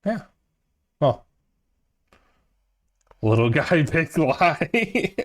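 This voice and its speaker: A man's voice: a little high, very animated, drawn-out words. A man with a somewhat nasal voice, casually commentating a game stream and bantering jokingly with chat in playful mock denials.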